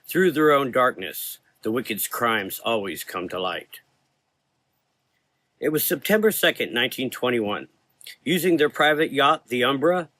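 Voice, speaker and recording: Bright, forceful man narrating gothic horror. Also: mid-range voice, no room sound. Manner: dramatic, solemn.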